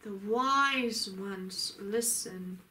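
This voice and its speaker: A woman delivering a channeled sermon, speaking as a divine lord in a solemn, declamatory way with frequent pauses. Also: medium pitch, bright, forceful tone. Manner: solemn, declamatory, pausing often. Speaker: spiritual channeler.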